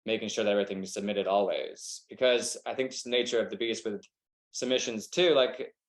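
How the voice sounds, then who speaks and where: Southern guy, young, low voice, loose rambling chat, roomy echo. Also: a little fast. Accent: American Southern. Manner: relaxed, rambling, heavy use of "like". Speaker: wedding film photographer. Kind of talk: casual webinar conversation. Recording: echoey room.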